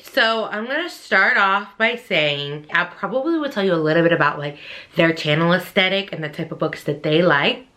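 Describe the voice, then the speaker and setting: A woman in her thirties from Texas with a Texan accent, chatting quickly and casually to camera about books she wants to read. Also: on the low side, lively intonation, pushed and bright. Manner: chatty, quick, addresses viewers as y'all. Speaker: book vlogger.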